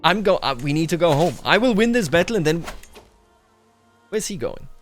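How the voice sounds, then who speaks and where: Higher-voiced man commentating a strategy game. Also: animated, normal speed, soft background music. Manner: thinks aloud, addresses game characters directly. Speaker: gaming video host.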